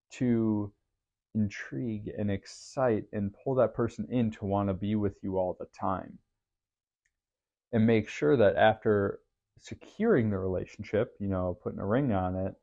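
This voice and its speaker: A man hosting a podcast, talking through his thoughts in an earnest, faith-based, reflective way with a deep voice. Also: dark tone, muffled recording. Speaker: podcast host. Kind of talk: reflective solo podcast monologue.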